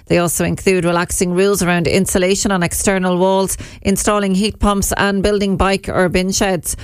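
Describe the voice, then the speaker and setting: A woman with an Irish accent reading a radio news bulletin in a measured, even way at a studio microphone. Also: mid-range voice, clear, resonant tone, no room sound. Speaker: newsreader.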